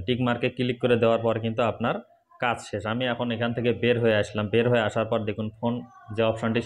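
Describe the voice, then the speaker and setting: A man hosting a tech tips channel, giving step-by-step phone instructions straight to viewers. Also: lowish voice. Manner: addresses viewers directly, instructional. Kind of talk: step-by-step phone tutorial narration.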